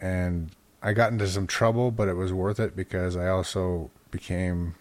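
A man's voice: deep voice, level tone, moderate pace, darkish tone. A middle-aged man from Utah with an American accent, telling personal stories casually as a podcast interviewee. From Utah, United States. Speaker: podcast host being interviewed as guest.

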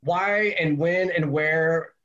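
A man's voice: fairly high pitch, slow, fairly bright tone, muffled recording. A man chatting casually as an interview guest, explaining things in an informal way. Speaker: interview guest from a music store.

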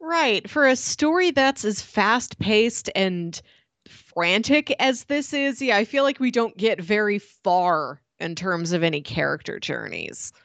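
A woman's voice: mid-range voice, animated, unhurried, a bit bright, no room sound. A woman co-hosting a casual book-club podcast, chatty and laughing between remarks. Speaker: podcast co-host.

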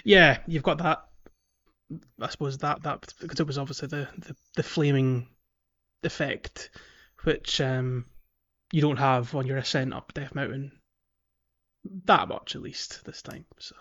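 A man's voice: medium pitch, fairly even intonation, measured pace, fairly bright tone, lo-fi sound. A man hosting a gaming podcast in casual conversation, explaining things in a hesitant, rambling way. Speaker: podcast host.

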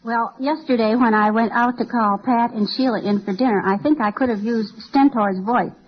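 A woman's voice: on the high side, steady tone, normal speed. A woman answering as a contestant on an old radio quiz, on a dull vintage recording.

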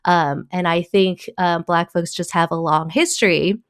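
A woman from Taiwan, a guest in a casual conversation, talking thoughtfully with many pauses, fillers and small laughs. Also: on the low side, steady tone, clean tone, dry recording, clean studio sound.

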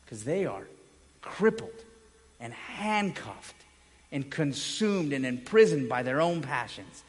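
Male pastor, higher-pitched voice, emphatic sermon delivery with weighty pauses, recorded in a reverberant hall. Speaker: pastor preaching. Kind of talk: evangelical sermon. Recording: echoey hall with long reverb.

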